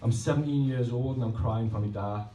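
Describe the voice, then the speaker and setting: A man's voice: medium pitch, a little fast, dark tone, a little hiss or hum. Man. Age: 30s. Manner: rhythmic, rhymed delivery between rambling, self-deprecating asides. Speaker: spoken word poet. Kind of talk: poetry reading with chatty asides. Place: pub function room at a poetry night. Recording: roomy, distant live recording, faint ambience.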